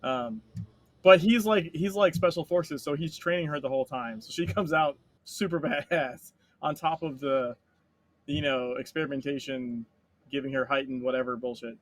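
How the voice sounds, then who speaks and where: A man co-hosting a casual chat, telling stories in a rambling, animated way and swearing freely. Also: on the high side, normal speed. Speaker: podcast co-host.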